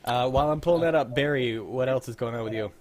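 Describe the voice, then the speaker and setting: A man's voice: medium pitch, quick pace, darkish tone. A young man hosting a casual group chat on a livestream. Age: young adult. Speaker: livestream host. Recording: noisy background.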